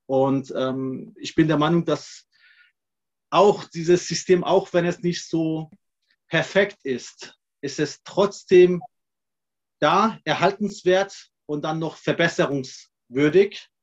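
A man's voice: on the high side, slow pace. A middle-aged man from Iran, speaking hesitantly as an activist guest on a video call, with many fillers and restarts. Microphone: laptop or webcam mic on a video call. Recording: dull lo-fi video call audio.

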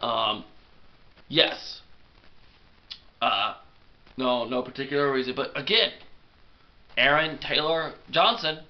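Excitable young man with a cold. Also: medium pitch, muffled recording. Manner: excitable, rambling, self-deprecating. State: congested with a cold. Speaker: movie review vlogger. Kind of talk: casual rambling film review. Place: small bedroom at night.

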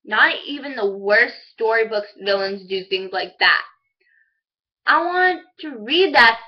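Girl acting a bookish schoolgirl, fairly high, lo-fi. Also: natural voice. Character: bookish, idealistic schoolgirl who prefers make-believe. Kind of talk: amateur dramatic monologue. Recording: dull lo-fi home recording.

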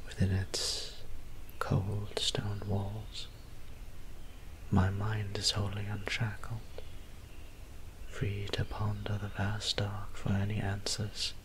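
A gentle young male vampire priest, acted by a man, murmurs in a breathy whisper for an ASMR roleplay. His delivery is very even and slow, weary and courteous, with hesitant pauses. There is faint noise behind the voice. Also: on the low side. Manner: formal, polite, hesitant, halting phrasing. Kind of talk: soft-spoken ASMR roleplay.

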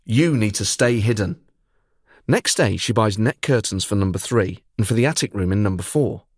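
Male podcast host, low-pitched, measured scripted narration with dry wit. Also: husky, no echo. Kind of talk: scripted storytelling narration with banter.

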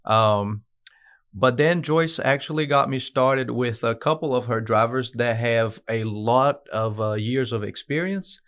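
A man in his thirties from Brazil with a Brazilian Portuguese accent, a guest telling his story in a studio interview with many "uh" pauses. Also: mid-range voice, fairly bright tone. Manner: earnest, with frequent "uh" and "um" pauses. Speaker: guest, dispatch manager. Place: podcast studio with branded backdrop. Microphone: desk podcast mic on a stand.

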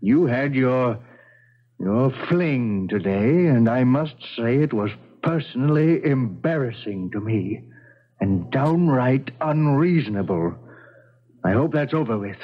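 A man playing a blustering, domineering gentleman father in a radio drama, with a husky voice and pompous, old-fashioned phrasing. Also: medium pitch, animated, slow pace. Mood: blustering, indignant. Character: blustering, gout-ridden, domineering gentleman father of colonial times. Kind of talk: 1970s radio drama acting. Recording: dull 1970s radio recording.

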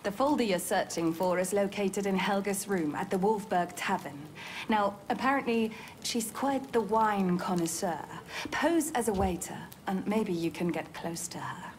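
An actress playing a wry, foul-mouthed British resistance agent posing as a nurse in a video game, brisk, dry and cheeky. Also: female voice, mid-range voice, slight background noise, close to an everyday voice. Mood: hushed, businesslike. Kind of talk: video game cutscene acting.

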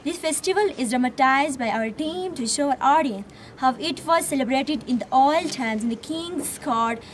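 A woman's voice: high-pitched, normal speed, slight background noise. A teenage girl formally addressing an audience outdoors while presenting a school theme. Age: teens. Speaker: student presenter. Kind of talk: recited presentation. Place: outdoors on a mountain terrace.

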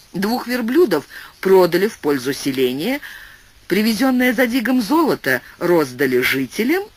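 A man's voice: fairly high pitch, a bit bright, slightly rough. A man narrating a classic literary audiobook, telling the story expressively. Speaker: audiobook reader.

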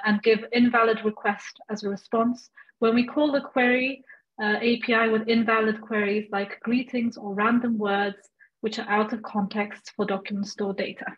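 A woman's voice: on the high side, steady tone, normal speed. Young woman moderating over dull call audio. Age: young adult. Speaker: webinar moderator. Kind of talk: informal Q&A moderating, relaying questions. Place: indoors, on a video call.

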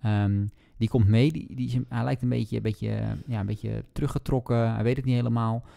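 A man chatting casually about books as a podcast host, speaking haltingly and hedging as he thinks aloud. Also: medium pitch, steady tone, vocal fry, mellow, no echo. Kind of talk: casual book discussion.

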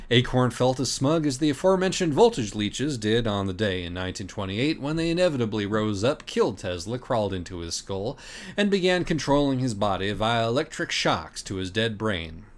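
A man reading scripted comic narration as an audiobook reader, in a mock-dramatic, scornful, profane manner. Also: mid-range voice.

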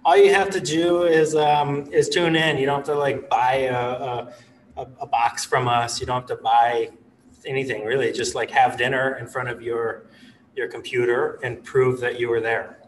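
Laid-back chef talking on a distant mic. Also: male voice, mid-range voice, normal speed, slight background noise. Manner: relaxed, rambling, many "you know" and "like" fillers. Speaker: executive chef, guest. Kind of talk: casual interview over video call. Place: living room with a stone fireplace.

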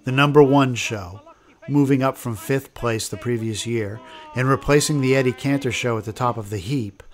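A man's voice: medium pitch, moderate pace. Male documentary narrator, scripted delivery.

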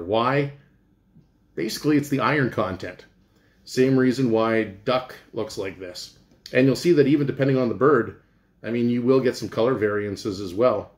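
A man's voice: medium pitch, darkish tone. A middle-aged man, a chef giving an informal, folksy cooking demonstration and explaining as he goes. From Canada. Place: small indoor room with hard walls. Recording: echoey room.

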